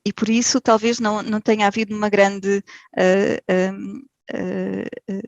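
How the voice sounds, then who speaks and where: A woman's voice: mid-range voice, completely flat delivery, measured pace, vocal fry, no room sound, highs cut off. A woman from Portugal with a European Portuguese accent, a podcast guest talking casually and hesitantly, with many fillers and restarts. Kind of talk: casual interview.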